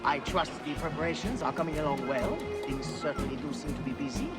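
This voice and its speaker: An actor playing a courteous colonial gentleman scientist in a video game, speaking in a polite, ornate and formal manner. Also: a little high, a little fast, over loud music, natural voice.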